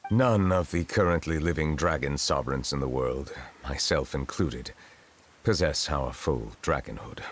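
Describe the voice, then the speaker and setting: An actor playing a dignified dragon lord in human guise for a video game, speaking in a formal, measured, reserved way. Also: male voice, rumbling low, slight background noise. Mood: formal, explanatory. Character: dignified male water dragon sovereign in human guise, solemn. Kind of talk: English video game voice acting. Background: game music.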